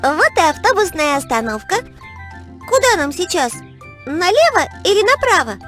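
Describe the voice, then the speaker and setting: A woman's voice: very high voice, very animated, normal speed, fairly bright tone, soft background music, exaggerated voice. A woman voicing a cheerful, caring little girl heroine in an educational children's cartoon. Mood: friendly, upbeat.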